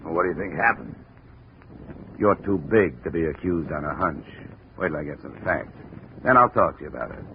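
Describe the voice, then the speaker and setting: An American actor playing a dry, world-weary insurance investigator in a 1950s radio detective drama. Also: male voice, extremely low pitch, expressive, fairly quick, pauses often. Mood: blunt. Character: wry, hard-boiled male insurance investigator narrating his case. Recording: dull, hissy old radio recording.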